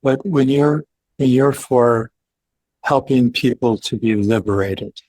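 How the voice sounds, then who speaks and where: An older man asking careful, halting questions on a video call from his living room. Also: mid-range voice, darkish tone, dry recording, poor audio. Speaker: guest interviewer. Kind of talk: interview questions in conversation.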